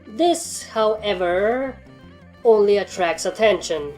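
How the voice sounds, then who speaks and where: A woman plays a stern pony commander in an audio storybook, a mare who gives orders in a matter-of-fact way and is easily annoyed. Music plays clearly behind the voice. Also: mid-range voice, lively intonation, takes it slowly, darkish tone. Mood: disappointed, explaining.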